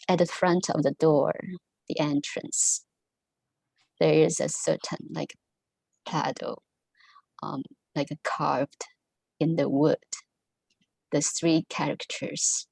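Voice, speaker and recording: A woman whose first language is Mandarin gives a halting talk to an online Buddhist study group, full of pauses and fillers. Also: low-pitched, creaky, darkish tone, breathy edge, dry recording, low-quality recording. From Taiwan. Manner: halting, many ums and restarts. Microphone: video call.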